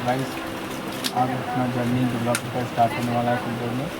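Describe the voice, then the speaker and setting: A man's voice: completely flat delivery, quick pace. A man with a Bihari accent chatting informally to his viewers as a travel vlogger. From Bihar, India. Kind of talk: casual vlog chat to camera. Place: busy outdoor market, railway station and train. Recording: noisy station and street, vehicle rumble. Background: loud traffic, engine and station noise.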